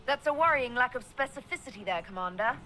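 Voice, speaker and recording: An actress playing a sharp elf mage who leads an army in a video game, questioning others in a direct, dry way over music. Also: female voice, on the high side, animated, quick pace, slight background noise, poor audio. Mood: dry, skeptical. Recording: music under the dialogue.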